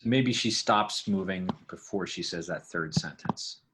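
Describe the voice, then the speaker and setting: A man's voice: sits low, a little slow, background noise. A man giving a casual, explanatory tutorial, thinking aloud as a sound design instructor. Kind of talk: casual screen tutorial narration.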